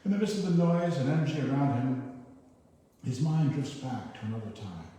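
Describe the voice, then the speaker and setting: A man giving a poetry reading, with informal and somewhat halting spoken introductions. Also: mid-range voice, animated, dark tone. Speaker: poetry reader at an open reading. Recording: very echoey hall, far mic, noisy.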